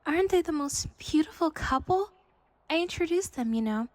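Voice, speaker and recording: Very high, gushing girlish friend played by a woman. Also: animated, normal speed. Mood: proud delight. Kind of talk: audio drama acting.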